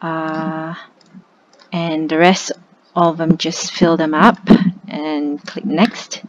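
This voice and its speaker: A woman's voice: low-pitched, slow pace. Woman walking through steps, muffled. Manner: relaxed, conversational step-by-step instructing. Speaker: tutor. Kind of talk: screen-recorded tutorial walkthrough. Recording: slightly muffled with faint hiss.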